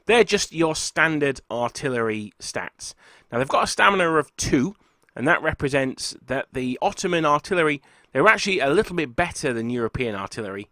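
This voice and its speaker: A man, husky and congested from a cold, chatting casually as host of a wargaming channel while talking through slides. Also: mid-range voice, pushed and bright, no room sound. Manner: chatty, rambling, self-correcting. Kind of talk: casual talk over slides.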